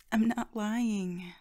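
A young woman playing an affectionate, playful friend in an ASMR roleplay, speaking softly and breathily, often in a whisper, with gentle reassurance. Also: mid-range voice. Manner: gentle, reassuring, giggly, halting.

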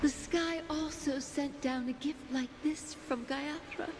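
An actress voicing a tender, grieving mother in a video game, speaking in a halting, breathy, reverent half-whisper. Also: sits high, fairly even intonation. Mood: tender, solemn. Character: tender grieving mother blessing her newborn son. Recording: game audio with loud music.

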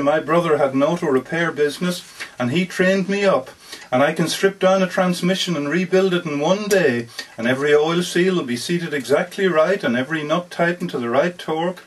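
A man reads as a lovestruck working man pouring out a heartfelt letter. He is plainspoken and folksy, with a fairly high-set voice that is slightly husky. The sound comes from a little way off the microphone at a live story reading. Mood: earnest, proud. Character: earnest, lovestruck working-class man from Georgia writing letters. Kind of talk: dramatic reading of a first-person story. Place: bookshop book launch. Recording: distant mic at a live reading.

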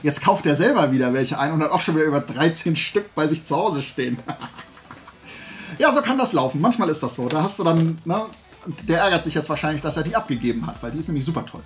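A man in his forties with a slightly high-pitched voice chats to his viewers like the host of a hobby show. He rambles in a casual, enthusiastic way. Faint music plays behind him. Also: expressive, fast. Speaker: host of a toy collecting video show. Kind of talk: casual chat, showing a toy haul to viewers. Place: small room full of toy shelves, indoors.

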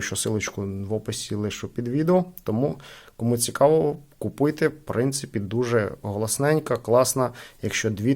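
A man's voice: fairly low pitch, a little mellow. A man hosting a casual product review, talking informally to his viewers as friends. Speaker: gadget unboxing channel host.

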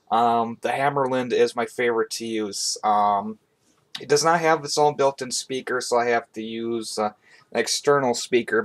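Casual young man, medium-pitched voice. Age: young adult. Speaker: hobbyist video host. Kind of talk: casual product review chat. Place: small bedroom indoors.

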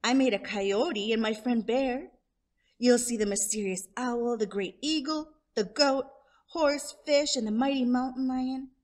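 A woman's voice: a little high, unhurried, a little mellow, voice pushed up, natural voice. A woman voicing a clever, proud, friendly male coyote, declaiming in a children's storybook read-aloud.

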